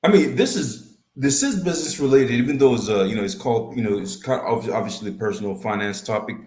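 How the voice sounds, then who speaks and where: A man hosting an interview, asking halting questions with many fillers and restarts. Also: medium pitch, darkish tone, reverberant. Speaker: podcast host.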